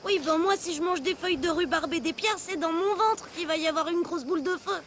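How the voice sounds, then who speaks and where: A woman's voice: extremely high pitch, brisk, a little hiss or hum. A woman voicing a timid, greedy little child sidekick in a children's cartoon, with a hesitant, grumbling manner. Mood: reluctant, grumbling. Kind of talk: animated children's cartoon dubbing. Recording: music behind the voice.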